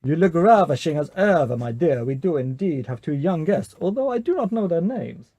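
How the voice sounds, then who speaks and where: A man's voice: on the high side, very animated, moderate pace, warm tone, no room sound. A man playing a pompous, courteous yet menacing vampire count in an amateur radio play. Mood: grandly hospitable. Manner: pompous, theatrical welcome.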